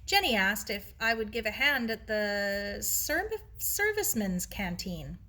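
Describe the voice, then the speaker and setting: An affectionate, hesitant older girl from a storybook , played by a woman reader. She speaks gently and tentatively, with a bright tone and mobile pitch. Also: big pitch swings, unhurried. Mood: sincere.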